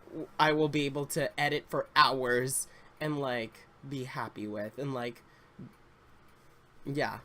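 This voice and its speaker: A man in his twenties chatting casually, a bit congested and slightly raspy, rambling with fillers and restarts. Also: on the high side, a bit bright. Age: 20s. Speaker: Sims YouTuber. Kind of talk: casual story time chat.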